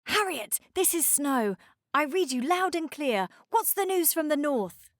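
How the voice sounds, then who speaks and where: A woman's voice: high-pitched, big pitch swings, a little slow, dry recording, pitched above the performer's own voice, heavily acted. A confident, friendly boy-ship of a storybook, an icebreaker, acted by a woman reader. The delivery is hearty and clipped like radio calls, lifted above normal talk, in a dry recording. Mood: eager, alert. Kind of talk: children's storybook character voice.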